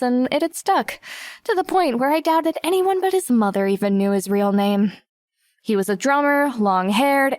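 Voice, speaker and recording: A woman's voice: a little high, no room sound, lightly acted. Woman as a wry teenage girl narrator, dry recording. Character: wry, self-conscious teenage girl narrating her story. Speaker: lead narrator. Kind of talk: first-person audiobook narration.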